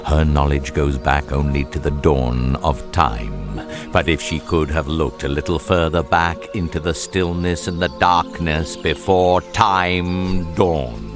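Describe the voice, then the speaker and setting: A man playing a majestic, gentle, wise lion in a radio drama, speaking in a slow, solemn, measured way. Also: extremely low pitch, music in the background. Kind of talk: radio theatre acting.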